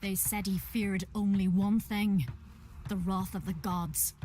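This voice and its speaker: A stern priestess, voiced by a woman with a mid-pitched voice. She speaks gravely and formally, like a keeper of sacred lore in a fantasy game, with faint music behind. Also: fairly even intonation, slow pace, warm tone, lightly acted. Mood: grave, condemning. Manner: formal, solemn. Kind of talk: fantasy video game acting.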